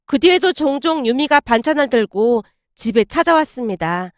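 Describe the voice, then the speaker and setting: Woman, story narrator, high-pitched, scripted first-person storytelling, dry studio-like sound. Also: animated, moderate pace, no room sound.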